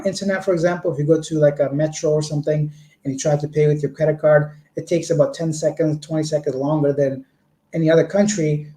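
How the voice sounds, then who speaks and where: A man's voice: a little high, warm tone. A man from Canada chatting casually on a livestream, answering viewer comments with long, rambling explanations. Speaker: vlogger. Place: indoor home room. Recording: echoey room.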